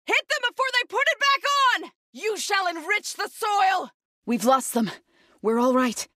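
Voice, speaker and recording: Intense, bright-voiced young warrior woman. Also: very high-pitched, expressive, unhurried, no echo, clean studio sound, exaggerated voice. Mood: earnest, alert. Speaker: video game voice actor. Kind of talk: scripted game combat barks and companion lines.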